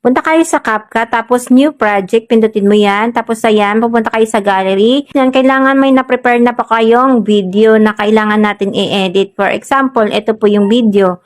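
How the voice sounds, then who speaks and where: A Filipino woman with a fairly high voice talks viewers through steps in a casual, polite tutorial style. The sound is close and dry, and her delivery is plainly instructional. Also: moderate pace, few pauses, dry recording. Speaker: tutorial host. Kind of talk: casual step-by-step app tutorial.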